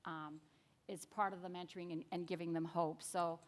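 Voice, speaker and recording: A woman's voice: fairly low pitch, little pitch movement, moderate pace. A woman speaking openly and confidently as a conference panelist. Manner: open, confident. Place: conference stage. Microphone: microphone.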